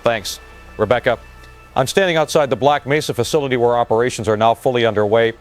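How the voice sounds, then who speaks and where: A man's voice: medium pitch, fast, soft background music, natural voice. A man playing a matter-of-fact field correspondent, giving a live news report with slight hesitations. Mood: calm, matter-of-fact.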